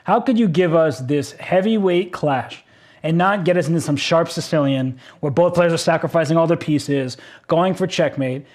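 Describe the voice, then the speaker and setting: A man's voice: fairly high pitch, normal speed, darkish tone. A man commentating a blitz chess game, exclaiming at surprising moves and laughing easily. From United States.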